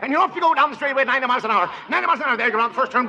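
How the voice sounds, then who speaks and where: An actor playing a giddy, speed-mad race car driver with breathless patter in a 1940s radio comedy. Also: male voice, very high voice, lively intonation, racing, pushed and bright, lo-fi sound, full character voice. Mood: excited, thrilled. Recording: very noisy old radio recording.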